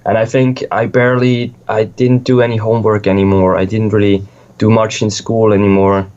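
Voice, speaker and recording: A man's voice: on the low side, flat delivery, a little fast, a little mellow, muffled recording. A young man from Switzerland, a music producer, chatting casually in an interview, rambling and swearing freely with lots of restarts.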